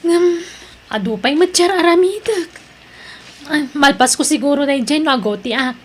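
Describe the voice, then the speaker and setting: A chatty female colleague played by an actress with an extremely high voice. She is helpful and conversational, delivering lines in acted audio drama style. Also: lively intonation, normal speed.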